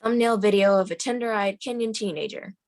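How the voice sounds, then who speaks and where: A woman with a medium-pitched voice serves as narrator at a table read. She reads out scripted scene headings and action. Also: a little fast, darkish tone. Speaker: narrator reading screen directions.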